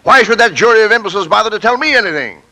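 A pompous American company president in an old-time radio comedy. His voice is fairly high and forceful, swinging widely as he sputters with exasperated sarcasm. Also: lively intonation, racing, heavily acted. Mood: indignant. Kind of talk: 1940s radio comedy acting. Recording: vintage 1940s radio broadcast.

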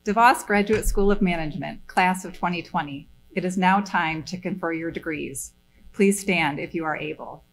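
Lower-voiced woman conferring degrees. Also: normal speed, a little hiss or hum, rough recording. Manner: solemn, formulaic. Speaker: dean. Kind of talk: reading a formal degree conferral. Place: stage at a podium.

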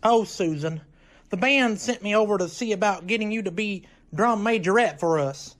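A woman's voice: medium pitch, moderate pace, close to an everyday voice. A woman playing a slangy, matter-of-fact young college musician in an old-time style radio comedy. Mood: friendly.

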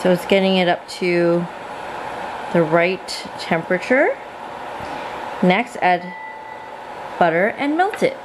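A woman with a fairly low voice talks through a cooking gadget at her kitchen counter. She is relaxed and chatty, with little fillers, and shows each part as she goes. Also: slow, pauses often, noisy background. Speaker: cooking channel host. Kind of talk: casual product demo.

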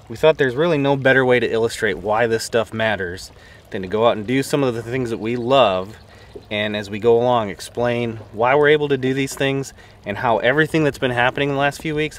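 A man in his thirties hosting an outdoor show, casually explaining things while fishing from a boat on a lake. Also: mid-range voice. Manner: easygoing, explanatory, with fillers.

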